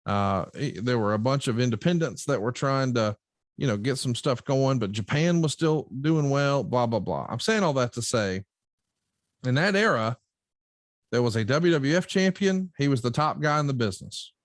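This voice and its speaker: A man's voice: mid-range voice, lively intonation, moderate pace, no echo, clean studio sound. A man hosting a podcast in a casual, conversational style, explaining things with a wry touch. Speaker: podcast host reading listener questions.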